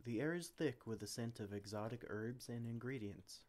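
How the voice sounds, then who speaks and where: A man's voice: mid-range voice, normal speed, darkish tone. A man who performs roleplays, narrating and commenting for the listener. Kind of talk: scripted roleplay narration and asides.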